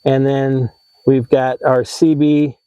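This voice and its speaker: A man hosting a how-to vlog, explaining things in a casual, conversational and slightly halting way. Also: medium pitch, little pitch movement, clear, resonant tone, no room sound, dull audio. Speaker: vlog host giving RV tips.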